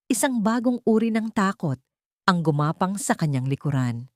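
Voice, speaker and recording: A woman's voice: mid-range voice, big pitch swings, normal speed, darkish tone, no room sound, clean studio sound. A woman storyteller reading a scripted dramatic audio story.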